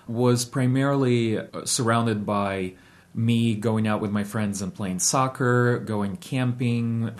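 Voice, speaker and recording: A man in his thirties, from Ukraine, with a fairly low voice. He talks in an interview with a steady, reflective manner and fills his pauses with ums and uhs. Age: 30s. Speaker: guest. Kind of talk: interview storytelling.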